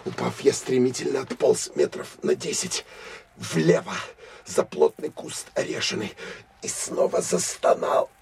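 An actor playing a hardened, sardonic counterintelligence officer who tells of an armed pursuit in an intense, expressive first-person audio drama. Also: male voice, medium pitch, unhurried, vocal fry, a little hiss or hum. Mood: strained, cunning. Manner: intense, expressive storytelling with wry asides.

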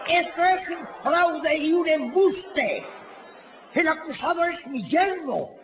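A man's voice: very high-pitched, animated, slow pace, fairly bright tone, heavily acted. An actor playing an indignant older doctor, a protective father-in-law, protesting emphatically in a radio drama. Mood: outraged, protective. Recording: dull radio recording, crowd noise.

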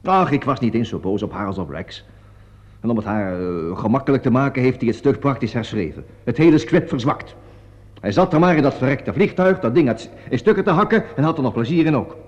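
A man's voice: medium pitch, expressive, a little mellow, lightly acted. A man acting an indignant, talkative theatre man in a radio play, justifying himself in an agitated way under police questioning. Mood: bitter, indignant. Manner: agitated, hurried, self-justifying. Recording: muffled, reverberant old radio play recording.

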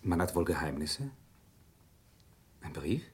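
An actor playing a stern, upright shopkeeper and husband in a radio play, measured, gruff and authoritative. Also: male voice, sits low, very animated, slight background noise, heavily acted. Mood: suspicious. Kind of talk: German radio play acting.

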